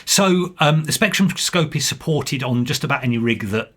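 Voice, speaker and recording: A man giving an informal, explanatory software walkthrough as the developer, hesitant in delivery, with a slightly husky voice. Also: on the high side, lively intonation, normal speed. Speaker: software developer and guest demonstrating his program.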